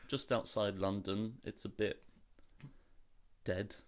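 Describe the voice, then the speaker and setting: A man's voice: fairly low pitch, fairly even intonation, slow pace. A young man raised in Singapore and Hong Kong, co-hosting a casual podcast. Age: young adult. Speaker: podcast co-host.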